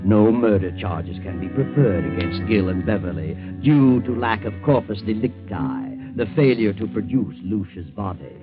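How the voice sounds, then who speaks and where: Guy doing a creepy mocking radio narrator, real deep voice, old muffled 40s recording w/ music. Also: animated, moderate pace, few pauses, close to an everyday voice. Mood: gloating, ominous. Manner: ominous, mocking, chuckling. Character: the Whistler, sinister gloating male storyteller of crime tales. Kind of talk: 1940s radio mystery narration.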